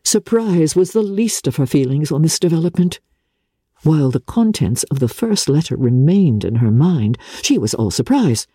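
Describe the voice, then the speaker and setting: A woman narrating classic literature in a theatrical, formal and measured storytelling manner, with a husky voice. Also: low-pitched, mellow, no room sound, clean studio sound. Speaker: audiobook narrator. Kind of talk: dramatic scripted reading of classic literature.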